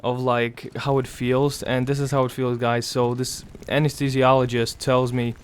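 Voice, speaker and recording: A young man speaking quietly and weakly in casual gameplay commentary, his voice raspy and strained after throat surgery, pausing often. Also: medium pitch, little pitch movement. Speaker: gaming commentator.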